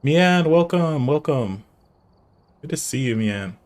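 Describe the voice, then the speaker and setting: A man's voice: on the high side, expressive, slow pace, a little mellow. A man with a nasal voice streaming live, chatting casually and rambling to himself and his chat while he sets up.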